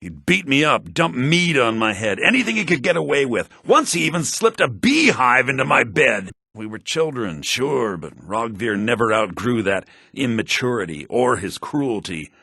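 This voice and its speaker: An actor playing a haughty, grumbling fantasy townsman in a video game, theatrical and sardonic, in low-bitrate audio. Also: sing-song, moderate pace, few pauses, bright, forceful tone, slightly rough, no room sound, studio quality, exaggerated voice. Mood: resentful. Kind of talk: video game character voice lines.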